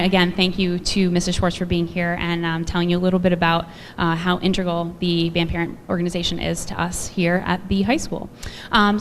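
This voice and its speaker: A woman who directs the band, hosting a school concert and speaking with many pauses and fillers. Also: fairly low pitch. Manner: warm-hearted, hesitant with many ums. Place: school auditorium. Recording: echoey hall with faint noise.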